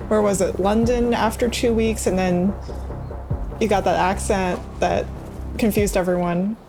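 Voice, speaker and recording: Man doing a high, breezy chatty woman. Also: creaky.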